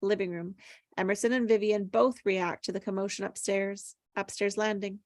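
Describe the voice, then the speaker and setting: A woman's voice: mid-range voice, no echo, studio quality. A woman reading stage directions aloud from a script at a table read, as scripted narration.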